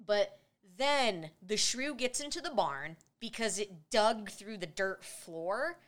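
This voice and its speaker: Woman, podcast co-host, casual movie recap, laughs a lot, mid pitch. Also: expressive, slow pace. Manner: laughing often, acts out movie lines.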